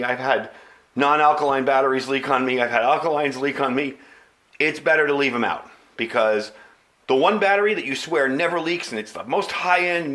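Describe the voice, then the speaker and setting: A man's voice: mid-range voice, a bit bright. A man hosting a video channel, talking through a product review in a casual, plain-spoken, explanatory way.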